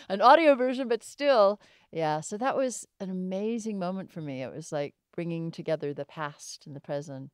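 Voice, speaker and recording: Woman actor w low smooth voice, chatting and reminiscing in an interview, dry sound no room. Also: a little mellow, no room sound. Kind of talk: casual interview chat.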